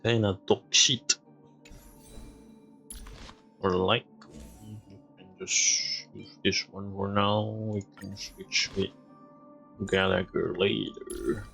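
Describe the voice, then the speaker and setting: A man's voice: fairly low pitch, slow, creaky, pauses often, pushed and bright, faint music behind, low-quality recording. A man giving casual game stream commentary, muttering to himself in short fragments.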